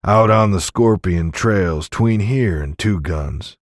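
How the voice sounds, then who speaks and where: Man acting a deep, grim Old West sheriff. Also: no echo, clean studio sound. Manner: slow, folksy, blunt.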